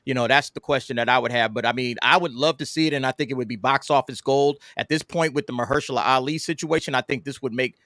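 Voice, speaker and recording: A male panelist speaks at a rapid pace in casual debate. His voice sits at a middle pitch, recorded dry with no echo. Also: fairly bright tone.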